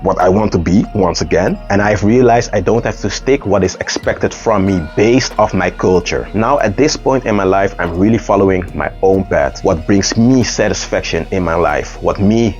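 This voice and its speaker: A man speaks in a slightly low voice, earnest and a little halting as he searches for the right words. The recording sounds dull and lo-fi, with faint music playing underneath. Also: darkish tone. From Netherlands. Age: 30s. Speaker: dancer and artist. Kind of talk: personal reflective monologue. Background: faint background music.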